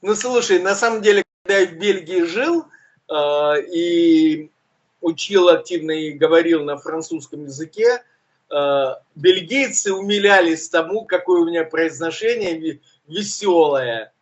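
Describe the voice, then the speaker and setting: A man with a burred, uvular R, co-hosting a casual news chat and talking in a relaxed, self-mocking way with many fillers. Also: on the high side, a little slow, muffled recording. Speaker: co-host, journalist.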